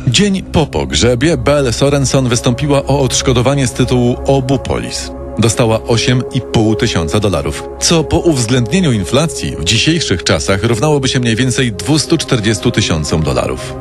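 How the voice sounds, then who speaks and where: A man's voice: mid-range voice, very animated, normal speed. A man narrating a scripted true-crime story with music behind him.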